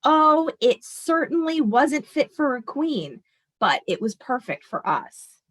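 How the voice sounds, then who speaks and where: Elderly storybook grandma, acted by a woman reader, nostalgic and gently emphatic, very slow delivery, fairly high voice. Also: very animated, full character voice. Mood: happy remembering. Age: older.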